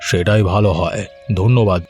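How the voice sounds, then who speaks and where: A man playing a good-natured, flattering middle-aged village farmer in an animated story, folksy and wheedling. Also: sits low, normal speed, faint music behind, natural voice.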